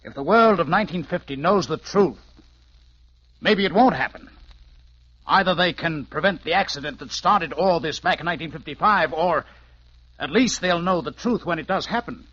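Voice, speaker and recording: An actor playing a grave, world-weary male colonel with fatherly authority in a 1950s radio drama, measured and solemn. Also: on the high side, a bit bright. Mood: urgent, hopeful. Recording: dull, muffled old radio recording.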